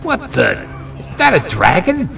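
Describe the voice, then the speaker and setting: A man playing a gruff, gravelly, irritable hulking supervillain in a superhero audio drama. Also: sits high, wildly swooping pitch, unhurried, full character voice. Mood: startled. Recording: distant sound under loud music.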